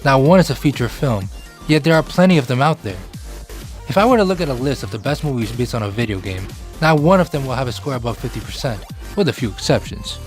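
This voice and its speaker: A man's voice: mid-range voice, sing-song, quick pace, soft background music. A man hosting a video essay, delivering scripted narration.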